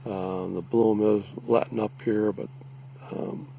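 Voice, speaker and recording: A man giving a halting, deliberate, matter-of-fact informal field narration outdoors, recorded on a handheld device. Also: fairly low pitch, little pitch movement, gravelly, dark tone, low-quality recording. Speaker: lake resident surveying an algae bloom. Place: outdoors, out on a small lake. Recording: faint outdoor background noise.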